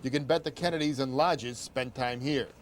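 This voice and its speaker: A man's voice: medium pitch, normal speed, slight background noise. An older man hosting a travel show, giving upbeat, conversational tour-guide narration.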